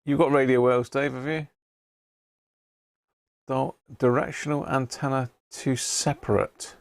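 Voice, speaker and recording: A man's voice: medium pitch, flat delivery, a little slow, gravelly, no room sound, studio quality. A man hosting a live radio stream, casually commenting as he tunes through stations and reads out viewers' reports.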